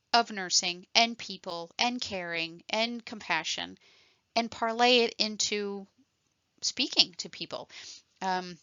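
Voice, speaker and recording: A woman nurse talks through an online course in a loose, enthusiastic way, stumbling over restarts and fillers. Her voice is bright and forward, and the dry, slightly dull recording has no room sound to it. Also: medium pitch, no room sound. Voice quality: self-described voice like a frog puppet. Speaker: telephone triage nurse and course presenter. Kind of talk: casual motivational presentation.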